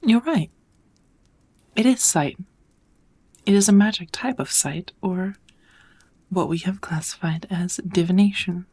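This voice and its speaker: A woman plays a kind witch mentoring an apprentice in an ASMR roleplay. Her voice is fairly low and very breathy, often dropping into a whisper. She teaches with gentle, measured patience and steady encouragement. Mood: gentle, instructive. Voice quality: soft, breathy. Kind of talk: ASMR roleplay, second-person scripted teaching.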